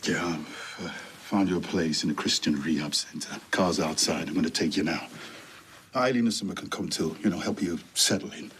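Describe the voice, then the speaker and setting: A deep-voiced, calm bishop. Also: male voice, moderate pace, a little hiss or hum. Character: calm male bishop arranging rehab.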